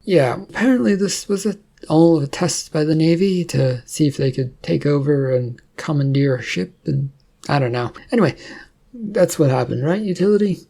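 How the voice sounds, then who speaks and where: A man's voice: a little high, normal speed, gravelly, mellow. A man voicing Cordite, a wary, suspicious young man who talks hesitantly in a storybook reading.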